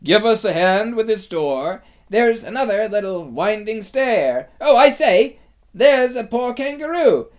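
A man voicing excited young storybook adventurers, calling out in high, urgent exclamations. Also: a little slow. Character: excited young children and rescuers shouting breathlessly.

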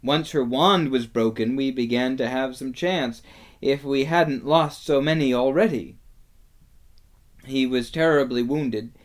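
A man's voice: mid-range voice, measured pace, lightly acted. A man voicing an earnest, stern young boy warrior in a storybook, speaking emphatically. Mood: earnest, urgent.

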